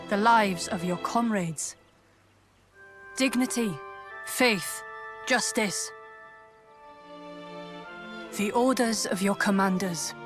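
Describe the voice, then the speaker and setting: A woman's voice: medium pitch, lightly acted. A woman playing a dignified, duty-bound knight commander in a fantasy game cutscene, speaking formally and solemnly. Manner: formal, solemn, measured speech. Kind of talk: video game cutscene acting. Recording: music behind the voice. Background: loud background music.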